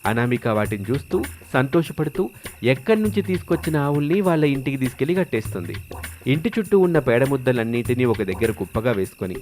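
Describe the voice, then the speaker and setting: Male narrator, mid-pitched, scripted moral tale, clear music bed underneath. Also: expressive, fast, darkish tone. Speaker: story narrator. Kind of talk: scripted storytelling narration for a moral story cartoon. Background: background music.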